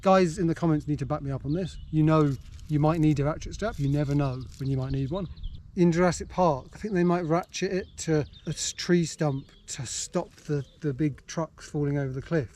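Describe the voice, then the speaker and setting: A man's voice: fairly high pitch, brisk, a little mellow. An English man chatting casually and self-mockingly on a hike, filmed outdoors on a handheld camera. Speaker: vlogger. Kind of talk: casual vlog chat while hiking. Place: outdoors on a hill walk.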